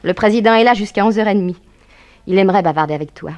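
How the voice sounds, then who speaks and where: An actress playing an affectionate, efficient young secretary in a 1960s French radio play, polite and attentive. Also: female voice, medium pitch, quick pace, darkish tone, natural voice.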